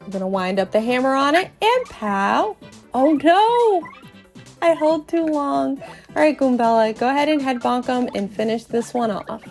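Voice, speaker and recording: A woman hosting a narrated video game playthrough, playful and expressive with cutesy exclamations, with music behind her. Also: high voice, a little slow. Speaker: podcast host and video game player. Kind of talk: playful narrated let's play, storytelling.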